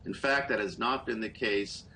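A middle-aged man talks through a webcam from a small indoor room, explaining political stories in a calm, measured way. His voice has a bright edge. A faint background hiss sits under the call audio. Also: on the low side, poor audio. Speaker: political reporter. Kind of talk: live news interview over video call. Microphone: video call webcam.

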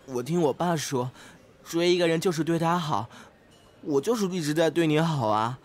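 Audio drama role: guileless male student played by a man, slightly high voice, sincere, jealous, literal-minded. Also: moderate pace, lightly acted. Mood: earnest, insistent. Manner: earnest, indignant, literal-minded. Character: earnest, naive, jealous male student with a crush. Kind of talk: amateur Chinese audio drama acting.

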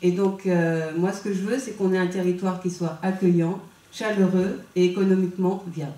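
A woman's voice: fairly low pitch, steady tone, normal speed, mellow, recorded from a distance. Woman with a handheld mic in an echoey room. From Vendée, France. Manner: chatty, with many hesitations. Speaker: substitute candidate at a public meeting. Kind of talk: introducing herself at a campaign meeting. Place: small meeting room, indoors. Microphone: handheld mic, filmed by a far camera. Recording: echoey room heard from afar.

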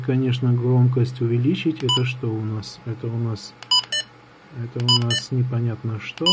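Male product reviewer, mid pitch, unscripted and hesitant, thinking aloud; homemade tabletop recording with some background noise. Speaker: gadget reviewer. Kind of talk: casual hands-on product review. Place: indoors at a table in an apartment.

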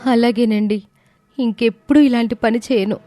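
A woman's voice: a little high, fairly even intonation, normal speed, music in the background, lightly acted. A woman playing a sorrowful, meek young wife with a plaintive manner in a story drama.

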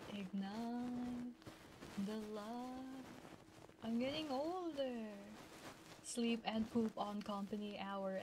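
A soft-spoken, playful woman chatting casually with viewers on an ASMR livestream, close to a binaural microphone. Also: fairly high pitch. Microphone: close binaural ear microphone. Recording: clear background noise.

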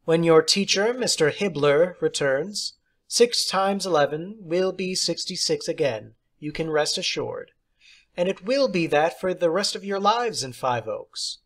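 A man's voice: on the high side, expressive, unhurried, pitched above the performer's own voice. A man voicing an eccentric, jolly woman substitute teacher in an audiobook story, precise, theatrical and condescending. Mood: airy, breezy.

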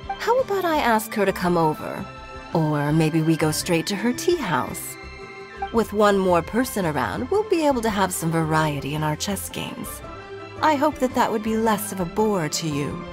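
A woman's voice: mid-range voice, big pitch swings, normal speed, close to an everyday voice. An actress voicing a composed young woman who teases with precise, wordy replies. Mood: teasing. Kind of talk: video game character dialogue. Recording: game audio over loud music.

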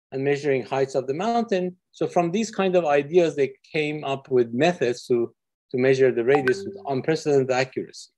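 A man's voice: mid-range voice, a little mellow. Man from Iran giving an online physics lecture. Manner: friendly, explanatory, with frequent fillers. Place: office with windows. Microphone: video call.

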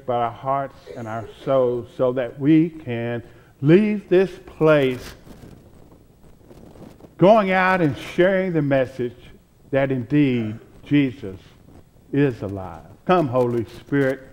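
Slow-paced older male pastor preaching. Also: mid-range voice, dull audio. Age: 60s. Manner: deliberate, pausing between short phrases. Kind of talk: sermon and spoken prayer. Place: church sanctuary, at the pulpit.

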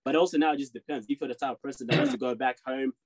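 Fast-talking man arguing on a video call. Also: medium pitch, steady tone. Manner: fast, insistent, arguing his point with repeated "you know what I'm saying". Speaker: podcast co-host. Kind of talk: casual group discussion.

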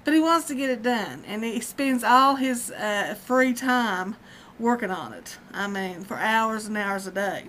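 A woman's voice: a little high, unhurried, fairly bright tone, slight background noise. A woman, chatting casually in a rambling vlog at home, often breaking into laughter. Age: 50s. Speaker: vlogger. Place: dim room at home, indoors. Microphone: webcam at close range.

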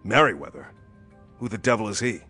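An actor playing a tough, suspicious police detective, blunt and hard-boiled, in a pulp thriller audio drama. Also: male voice, lowish voice, lively intonation, fairly quick, pushed and bright, husky, soft background music, heavily acted. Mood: probing, brusque.

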